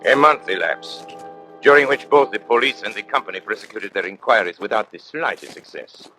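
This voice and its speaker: An actor narrating a radio mystery as a grave storyteller, formal and measured. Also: male voice, on the low side, animated, bright, forceful tone, faint music behind, rough recording. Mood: grave, suspenseful.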